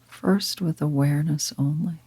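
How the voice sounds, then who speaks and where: A woman leading a guided meditation in a calm, gentle, breathy half-whisper, unhurried and with long pauses. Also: deep voice, no room sound. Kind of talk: guided meditation, slow soft-spoken instruction.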